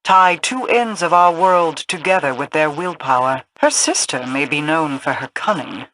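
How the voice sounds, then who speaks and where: Bright-voiced, imperious mystical queen. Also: low-pitched, lively intonation, no echo, rough recording. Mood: grave, imperious. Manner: formal, measured, imperious. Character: regal, commanding female queen of a mystical people. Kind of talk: video game voice acting.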